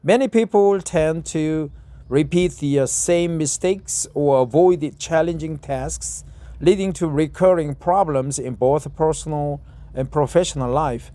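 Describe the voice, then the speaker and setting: A man's voice: fairly high pitch, a little slow, dark tone, dry recording. A middle-aged Korean man, a non-native speaker, reading an article aloud in English with a Korean accent, hesitating with many fillers and self-corrections. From South Korea. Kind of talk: casual self-recorded English reading practice.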